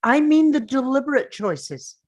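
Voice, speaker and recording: A woman's voice: sits high, lively intonation, measured pace, darkish tone. A woman playing a grieving, angry father in a dramatic script read, confrontational and emphatic. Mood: tense, insistent. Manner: confrontational, emphatic, pressing with questions.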